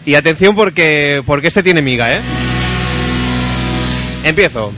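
A man's voice: fairly high pitch, animated, measured pace, over music. A man with an accent from Spain hosting a casual radio chat and reading listener emails, emphatic and fond of repeating phrases. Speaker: radio show host.

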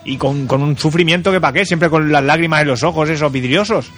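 Slightly high-voiced man, jokey Spain Spanish co-host. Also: fairly quick, fairly bright tone, soft background music. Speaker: radio co-host. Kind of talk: casual comedic radio chat.

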